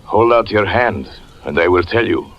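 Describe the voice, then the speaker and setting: A man playing a brooding magician who narrates his story in a 1940s radio drama, slow and solemn. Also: on the low side, animated, a little hiss or hum. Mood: tender. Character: brooding male magician and fortune teller narrating his past. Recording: dull 1940s radio broadcast with music.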